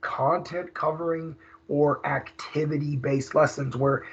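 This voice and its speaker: A hoarse man, leading a recorded teacher training seminar in a conversational way, with many fillers and restarts. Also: fairly high pitch, fairly even intonation, a little slow. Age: 30s. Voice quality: raspy. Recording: dull lo-fi screen recording.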